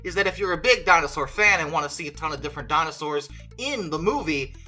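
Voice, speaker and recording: A woman's voice: sits low, animated, fast, sharp tone, faint music behind. A woman talking conversationally through an opinionated fan review, with emphatic stress on key words. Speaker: video creator reviewing films as a fan.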